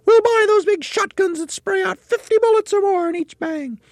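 A man voicing a spiteful old woman in a storybook, in a shrill screechy falsetto. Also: normal speed, dry recording, clean studio sound. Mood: shrill gleeful.

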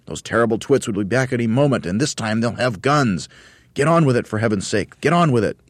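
A man voicing an excitable, bossy monkey leader in a storybook, shouting urgent commands. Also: mid-range voice, brisk, no echo, voice pushed up, lightly acted. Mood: frantic, shouting.

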